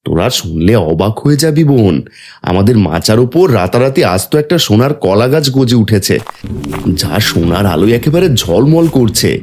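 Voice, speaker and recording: Guy doing a excitable poor village dad, cartoon fairytale, dramatic, lowish voice that swings around. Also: moderate pace. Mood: earnest excitement. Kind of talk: Bengali fairy-tale cartoon voice acting.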